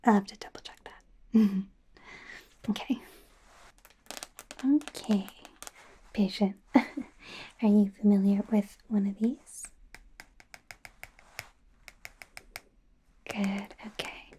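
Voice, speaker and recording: A friendly, slightly bumbling female werewolf doctor, acted by a woman for an ASMR medical roleplay. She whispers softly and unhurriedly in a mellow tone, gently reassuring and often correcting herself. A faint noise sits behind the voice. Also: medium pitch, fairly even intonation, slow pace, long pauses, lightly acted. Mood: flustered, sheepish. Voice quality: soft breathy whisper.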